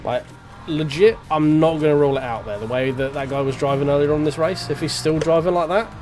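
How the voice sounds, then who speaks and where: A man's voice: on the high side, a little fast, a little mellow. A man streaming a racing game, chatting casually to himself and his chat while driving, sounding congested and blocked up in the nose. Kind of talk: live gameplay commentary. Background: game music and car engine sounds.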